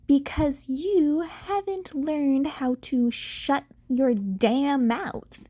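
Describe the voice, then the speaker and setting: A woman voicing a brisk, commanding, impatient female hero with a blunt, bossy manner in an audiobook. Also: high-pitched, mellow, voice pushed up. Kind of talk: fan fiction audiobook character acting.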